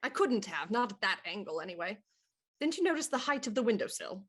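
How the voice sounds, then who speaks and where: An actress playing a composed, shrewd police inspector in a period radio murder mystery, with a dry, deliberate delivery. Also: female voice, mid-range voice, big pitch swings, fairly bright tone, slightly rough. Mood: dry, measured. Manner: deliberate, dry, theatrical deduction.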